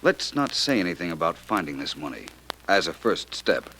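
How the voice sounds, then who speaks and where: A man acting as a shrewd, confident amateur detective in a 1940s radio drama, reasoning smoothly. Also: sits low, big pitch swings, moderate pace, sharp tone, exaggerated voice. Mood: calmly reasoning. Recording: noisy vintage radio recording.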